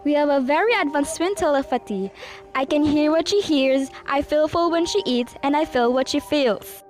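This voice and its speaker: A woman's voice: sits high, normal speed, close to an everyday voice. A woman playing a solemn telepathic twin student in an amateur radio drama. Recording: faint music behind voice.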